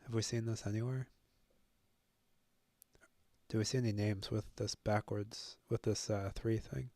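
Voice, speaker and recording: Slow, gravelly man thinking aloud. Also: on the low side, fairly even intonation, mellow, no echo. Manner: musing, self-deprecating, halting with many fillers. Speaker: language-learning video host. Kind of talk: thinking aloud while self-studying.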